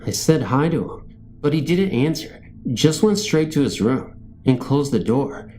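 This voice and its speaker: A man's voice: mid-range voice, expressive, measured pace, dark tone, soft background music. A man reading scary stories as a narrator, in a scripted storytelling style.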